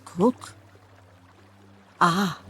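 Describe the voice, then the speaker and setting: An actress playing a wary alien woman in a radio drama, speaking halting single words of an unfamiliar language. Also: fairly bright tone. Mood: tentative, curious.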